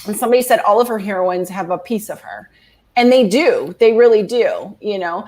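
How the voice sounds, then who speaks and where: A woman's voice: mid-range voice, expressive, a little fast, a little mellow. Rambling woman in her forties. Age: 40s. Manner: rambling, many restarts and fillers. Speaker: guest author. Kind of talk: casual video-call chat.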